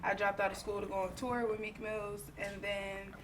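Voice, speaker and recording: A woman in her twenties, a dance teacher, talking in a relaxed, chatty way on a discussion panel. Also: mid-range voice, normal speed, far from the mic, background noise. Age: 20s.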